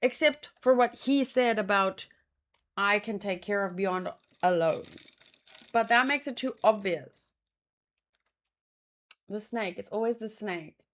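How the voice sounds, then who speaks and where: A woman's voice: medium pitch, slow pace, pauses often, a bit bright. A woman giving casual reaction commentary as a host, thinking aloud in a musing, hesitant way and often repeating words. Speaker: host of a manga read-along.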